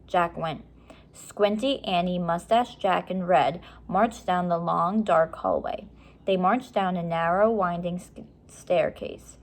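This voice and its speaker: A woman reading a children's chapter book aloud with measured, expressive storytelling. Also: lowish voice. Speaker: story reader.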